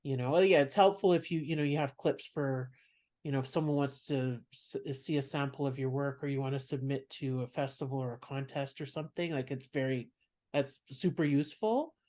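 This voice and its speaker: A man from Canada with a soft voice, a stand-up comedian speaking as a podcast guest in reflective, unhurried talk. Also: mid-range voice. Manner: reflective, explains at length. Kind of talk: casual interview chat.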